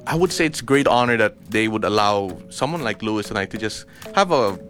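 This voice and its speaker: A man's voice: on the low side, animated, fairly quick, a bit bright, soft background music. A young man from Cebu, a radio DJ and co-host, chatting casually on air and laughing often between stumbling restarts. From Cebu, Philippines.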